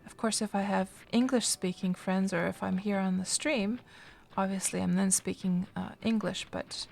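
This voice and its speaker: A woman's voice: medium pitch, fairly even intonation, normal speed, creaky, darkish tone. Chatty woman streaming a game. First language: Finnish. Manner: chatty, thinks aloud with many fillers and restarts. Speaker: game livestreamer. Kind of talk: casual livestream chat, answering viewers.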